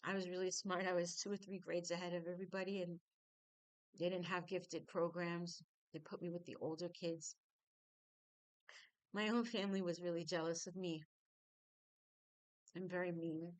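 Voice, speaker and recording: Reflective middle-aged woman, smooth low voice. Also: little pitch movement, dry recording, rough recording. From New York. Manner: reflective, halting with fillers, laughs through painful memories. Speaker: singer. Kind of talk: personal storytelling.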